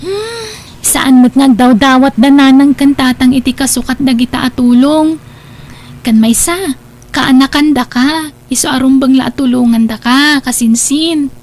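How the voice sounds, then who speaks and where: A woman's voice: high voice, a little slow, darkish tone. A young woman voicing a friendly cousin who chats in an upbeat way in a family radio drama. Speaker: voice actor.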